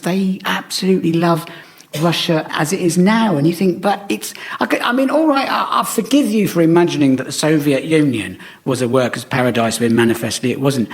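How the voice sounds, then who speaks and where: High-voiced exasperated English man. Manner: exasperated ranting. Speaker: comedy panellist. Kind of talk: comic anecdote and opinion.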